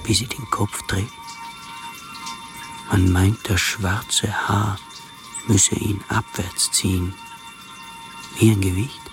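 A man's voice: lowish voice, little pitch movement, takes it slowly, pauses often. An actor playing a coarse, swaggering military man full of lust, savouring his short phrases in a radio play. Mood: lustful admiration. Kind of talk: German-language radio play acting. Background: music.

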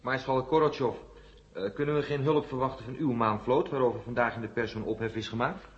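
A man's voice: medium pitch, a little fast, close to an everyday voice. A man acting a direct, probing press reporter in a Dutch radio play. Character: probing, brisk press reporter questioning military chiefs. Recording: dull, muffled 1970 radio recording.

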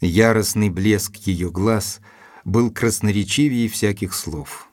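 A gentleman narrator from a classic detective audio drama. His voice is fairly low. He recounts the investigation as composed, descriptive literary narration. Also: slow. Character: Doctor Watson, male narrator recounting the investigation.

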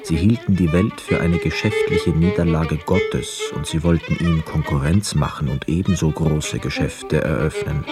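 An actor playing a poor, wistful young man who narrates a literary radio drama in a measured, reflective manner. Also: a bass voice, over loud music. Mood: sombre narration. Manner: measured, reflective, hushed inner asides.

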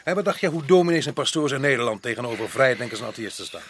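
An actor playing a sardonic, outspoken atheist colonial official in a radio play, mocking and argumentative. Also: male voice, mid-range voice, lively intonation, very fast, slight background noise, close to an everyday voice.

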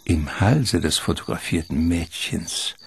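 A man narrating a literary radio drama in a measured, ornate storytelling manner. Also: sits low, lively intonation, vocal fry, slight background noise, full character voice. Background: music.

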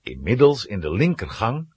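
A male narrator with a very husky, breathy voice tells a children's adventure. His pitch swings dramatically from phrase to phrase, and the sound is close and dry. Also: wildly swooping pitch, moderate pace, darkish tone, no echo.

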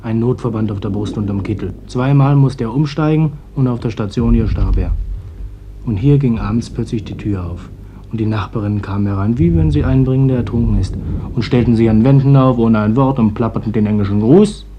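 A man's voice: medium pitch, fairly quick, muffled recording, background noise, lightly acted. A man playing an earnest, defiant young fisherman's son in a radio play, speaking bluntly. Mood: grave, recounting. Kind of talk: German radio play acting.

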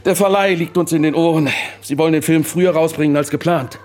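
An actor playing a slick, self-assured film producer with a smooth-talking, salesmanlike manner in a radio drama. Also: male voice, a little high, fairly even intonation, fast, darkish tone, close to an everyday voice. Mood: brisk, upbeat.

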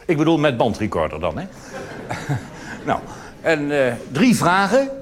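A man's voice: on the high side, huge pitch swings, measured pace. A male cabaret performer delivering a live comedy monologue in a conversational, rambling way with asides and tag questions. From Netherlands. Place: on stage in a theatre before an audience. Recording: noisy old live theatre recording.

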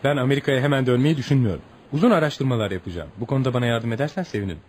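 An actor in a Turkish radio play as a courteous, patient American newspaper journalist, speaking in a reassuring manner. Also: male voice, medium pitch, racing, darkish tone, natural voice. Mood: courteous, businesslike. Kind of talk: Turkish radio theatre acting. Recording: noisy radio play recording.

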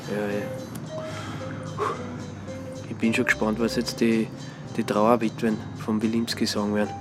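Male actor as wary petty crook, Austrian accent, fairly low pitch, grumbling, colloquial, radio drama, clear music underneath. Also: completely flat delivery, normal speed, lightly acted. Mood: grumbling, curious. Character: grumbling, wary male petty offender doing community service. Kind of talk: naturalistic radio drama acting.

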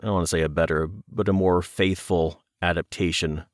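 Male, low-pitched, dry close sound, casual interview talk with hesitant, self-qualifying delivery. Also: steady tone, brisk, no room sound.

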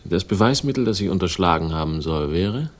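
An actor in a radio crime play playing a cynical, stubborn investigator, speaking in a laconic, sardonic way with a gravelly voice. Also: male voice, low voice, expressive, brisk. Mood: curt, defiant. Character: cynical, stubborn male hard-boiled crime investigator, wry and defiant. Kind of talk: 1960s German radio play acting, dialogue and narration.

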